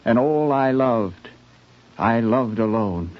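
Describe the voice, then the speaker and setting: Proud, intense young poet, declamatory, average pitch, muffled 1950s radio drama sound. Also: male voice, animated, slow pace. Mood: rapturous, brooding. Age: young adult. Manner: declamatory, rapturous, poetic. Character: intense, grandiose young poet, orphaned and defiant.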